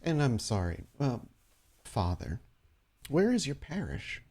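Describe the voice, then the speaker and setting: A man voicing a smug, menacing ageless vampire crime boss who speaks in measured, formal taunts in a tabletop game. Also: medium pitch, huge pitch swings, darkish tone, breathy edge, pitched above the performer's own voice, heavily acted. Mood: taunting.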